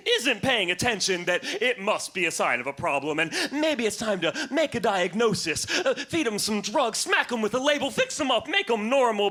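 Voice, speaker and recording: A young man performing poetry live to an audience, with an emphatic, theatrical delivery and dramatic pauses. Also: high voice, sharp tone. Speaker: slam poet performing on stage. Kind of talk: live poetry reading and spoken word to an audience.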